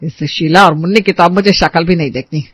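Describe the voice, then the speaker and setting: A woman's voice: fairly low pitch, quick pace, slightly rough, no room sound. Exasperated household mistress, acted by a woman. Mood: placating, insistent. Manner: complaining, emphatic. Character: exasperated, bossy middle-class mistress of the household.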